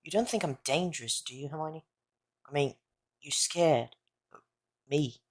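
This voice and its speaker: A woman's voice: low voice, a little slow, breathy edge. A woman playing a plucky, teasing young boy wizard in an audio drama, with a dryly joking manner. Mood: dry, questioning.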